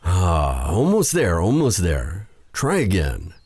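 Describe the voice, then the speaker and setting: Guy acting as a brooding dark mage, very low voice, slow and courtly, soft spot for his captive, fantasy asmr roleplay. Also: wildly swooping pitch, a little hiss or hum, heavily acted. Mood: patient, encouraging. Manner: courtly, formal phrasing with endearments. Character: feared dark sorcerer, tender and flustered toward his captive. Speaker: voice actor in audio roleplay.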